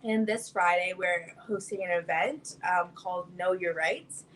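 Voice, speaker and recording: A woman's voice: on the low side, unhurried, a bit bright, low-quality recording. A young woman speaking as an activist guest, informally announcing and explaining in youthful, casual phrasing. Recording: faint background ambient noise.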